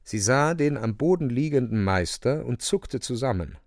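Radio play narrator, male: solemn literary storytelling, creaky mid-range voice, very dry sound. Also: medium pitch, lively intonation, normal speed, dry recording.

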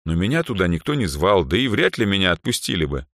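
A man voicing a calm, naive young male heir in a fantasy audiobook, polite and mildly puzzled in manner. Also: sits low, fast, no echo, studio quality, exaggerated voice.

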